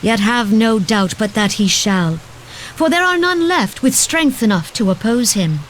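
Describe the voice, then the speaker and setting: A woman voicing a poised, formal stateswoman in a fantasy video game, speaking in measured, stately and archaic phrases. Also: on the high side, expressive, slight background noise, poor audio. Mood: grave, assured.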